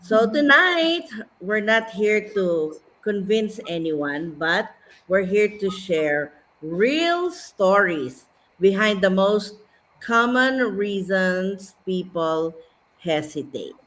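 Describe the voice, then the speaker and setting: Brief: middle-aged Filipino woman host, polite and slow, bright, forward voice. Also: medium pitch, lively intonation. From Philippines. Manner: polite, welcoming. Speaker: host and business mentor. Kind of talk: live stream introduction.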